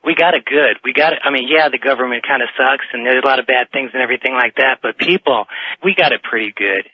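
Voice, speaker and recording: A man's voice: medium pitch, fast, dry recording. Casual male caller on muffled phone line. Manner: hesitant, informal, rambling. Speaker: radio show caller. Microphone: telephone. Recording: dry, muffled phone call on radio.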